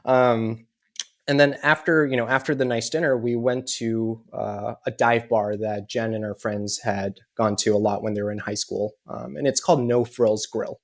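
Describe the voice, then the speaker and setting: A man's voice: mid-range voice, steady tone, normal speed, gravelly. An American man telling a personal story as a podcast guest, in an earnest way and with lots of "you know" fillers. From United States. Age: adult with children.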